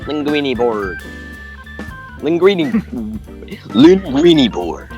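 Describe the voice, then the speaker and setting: A man chatting and joking casually on a podcast panel, with halting, bantering delivery. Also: medium pitch, big pitch swings, a little mellow. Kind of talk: casual joking group chat. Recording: close dry voice over guitar music. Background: guitar music.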